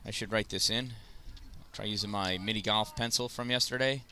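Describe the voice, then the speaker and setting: Bright-voiced casual baseball announcer man. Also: lowish voice, fairly even intonation, a little fast. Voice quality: loud voice. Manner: chatty, self-deprecating, rambling asides. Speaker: play-by-play announcer. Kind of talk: casual youth baseball commentary. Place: at a youth baseball field.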